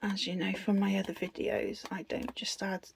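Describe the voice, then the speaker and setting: Even-toned British woman, chatty crafter. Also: medium pitch, normal speed, vocal fry, background noise. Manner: chatty, self-deprecating. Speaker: craft video host. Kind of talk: casual unscripted craft tutorial.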